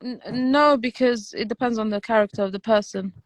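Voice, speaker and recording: A woman whose first language is Romanian, chatting on a live stream in a hesitant, soft-spoken way with frequent restarts. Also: a little high, brisk, a crowd in the background, rough recording. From Algeria. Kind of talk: casual livestream chat.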